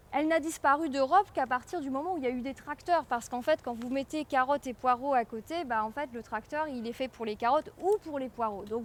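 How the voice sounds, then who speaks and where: Woman, high voice, public lecture, explanatory, hesitant with repeats, some ambient noise. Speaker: guest lecturer, medieval historian.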